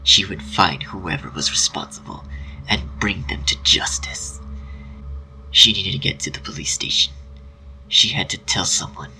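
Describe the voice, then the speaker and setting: A man with a breathy voice reading a dramatic story as narrator. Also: low-pitched, unhurried, bright, forceful tone, a little hiss or hum, poor audio.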